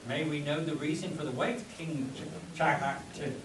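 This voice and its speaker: A man's voice: fairly high pitch, moderate pace, natural voice. A man playing an earnest, slightly stumbling adventurer hero in a spoof radio play. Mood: puzzled. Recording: echoey hall with crowd, distant mic.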